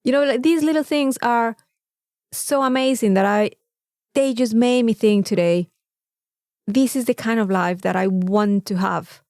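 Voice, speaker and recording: Woman podcaster, higher voice, close dry sound, telling stories all animated, swears a bit. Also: a little slow, no room sound. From Mexico. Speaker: podcast co-host. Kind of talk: casual chat.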